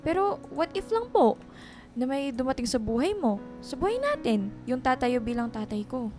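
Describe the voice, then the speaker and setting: A young woman as a caring daughter in a radio drama, with a high voice over faint music. She is respectful, apologetic and a little pleading. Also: sing-song, normal speed. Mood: apologetic, earnest. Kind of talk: Filipino radio drama acting.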